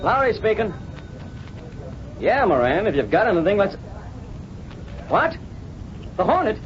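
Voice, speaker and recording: An actor playing a brash, hurried newspaperman in an old radio drama, urgent and exclamatory, on a noisy, muffled recording. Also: high-pitched, sing-song. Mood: hurried, excited. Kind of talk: 1930s-40s radio drama acting.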